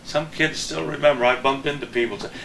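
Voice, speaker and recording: A man's voice: on the high side, fast, gravelly, fairly bright tone, echoey room, slight background noise. An older man, a veteran broadcaster, reminiscing casually in short remarks. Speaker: radio and TV veteran guest.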